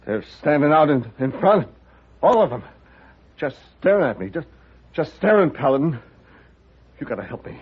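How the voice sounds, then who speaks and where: Husky, blustering railroad boss. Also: male voice, a little high, sing-song, moderate pace, pauses often. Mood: frightened. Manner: curt, edgy, demanding. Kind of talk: 1950s radio western acting. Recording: dull old radio recording.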